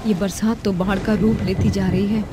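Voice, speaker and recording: A woman's voice: medium pitch, steady tone, normal speed, background noise, lightly acted. A woman voicing a poor, long-suffering, loving mother in a cartoon story, with a pleading manner. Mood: worried. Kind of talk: cartoon voice acting. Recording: music under the voice.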